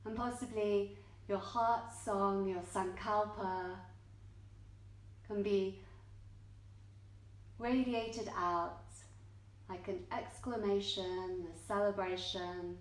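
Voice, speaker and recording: A woman leads a guided meditation in an echoing indoor yoga studio. She speaks very slowly and gently, in short measured phrases separated by long pauses. Her voice sits at a medium pitch for a woman. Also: fairly even intonation. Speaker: yoga nidra teacher.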